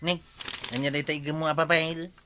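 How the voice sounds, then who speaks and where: A man playing a cheeky, teasing village tricycle driver in a comedy radio drama, pushy and quick to chuckle. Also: a little high, little pitch movement, bright, forceful tone, slight background noise. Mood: cheeky, insistent. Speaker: actor in an Ilocano comedy drama.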